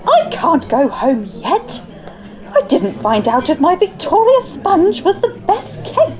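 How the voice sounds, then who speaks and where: An actress playing a pointed, self-important, competitive village baker in a comic radio drama. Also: female voice, very high-pitched, sing-song, slow, a little mellow, heavily acted. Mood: urgent, insistent. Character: competitive, accusing village cake baker woman. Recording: music behind the voice.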